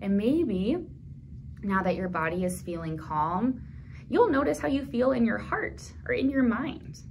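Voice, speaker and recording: Casting: woman, 30s, children's storytime reader, gentle and unhurried, very animated pitch, small room with some background noise. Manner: gentle, simple phrasing aimed at small children, with questions. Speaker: children's librarian, storytime host. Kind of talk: toddler storytime, read-aloud with commentary. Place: small indoor room at a library.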